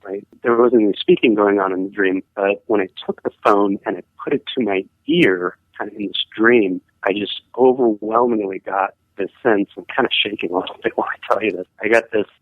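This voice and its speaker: A man's voice: lowish voice, no echo. Rambling man on a tinny phone line. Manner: halting, rambling, full of hedging fillers. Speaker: caller sharing a ghost story. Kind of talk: casual storytelling. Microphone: telephone.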